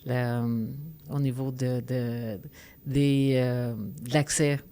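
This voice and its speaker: A podcast guest, speaking hesitantly and filling pauses with "euh". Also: mid-range voice, level tone, warm tone, clear, resonant tone. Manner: slow and hesitant, lots of "euh". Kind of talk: podcast panel discussion.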